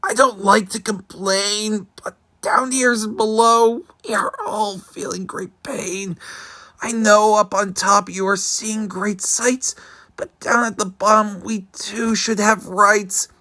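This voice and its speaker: A man's voice: sits high, steady tone, slow pace, pushed and bright, pitched above the performer's own voice, exaggerated voice. A man voicing a small, weary male turtle who pleads and groans from under a heavy load. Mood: pleading. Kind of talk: storybook reading.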